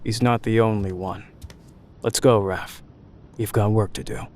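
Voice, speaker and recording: A man's voice: on the low side, fairly even intonation, unhurried, darkish tone. A man playing a serious teenage turtle team leader in an audio drama, level-headed and direct. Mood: resolute.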